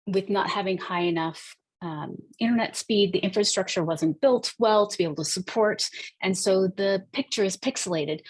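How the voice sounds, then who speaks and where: A woman with a slightly low voice talks as an expert guest in a podcast interview. She explains her research conversationally, pausing and rephrasing as she thinks aloud. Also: breathy edge, rough recording. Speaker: podcast guest, researcher in deaf healthcare access.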